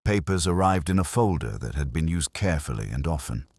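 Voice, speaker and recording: A man's voice: extremely low pitch, animated, fairly quick, a little mellow, no room sound, studio quality. A man narrating a first-person detective story in a wry, hard-boiled manner. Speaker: audiobook narrator.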